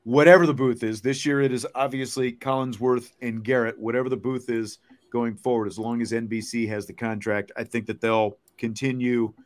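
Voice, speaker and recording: A man's voice: mid-range voice, little pitch movement, moderate pace. Man hosting a casual sports podcast. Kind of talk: casual sports chat answering viewer comments.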